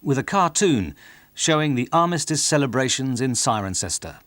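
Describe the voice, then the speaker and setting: A man's voice: mid-range voice, very animated, normal speed, fairly bright tone, no room sound. A man narrating a historical documentary from a script, as its presenter.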